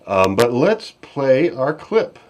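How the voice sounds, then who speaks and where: A man hosting a podcast, giving casual commentary in a halting, rambling way. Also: mid-range voice, big pitch swings, a little mellow, slight background noise. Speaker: podcast host.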